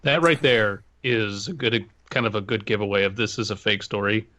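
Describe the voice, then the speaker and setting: A man's voice: on the low side, moderate pace, bright, forceful tone. A man co-hosting a horror podcast, reading a story aloud and breaking off for skeptical, wry asides. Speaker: podcast co-host. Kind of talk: reading stories aloud and critiquing. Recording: dull muffled audio.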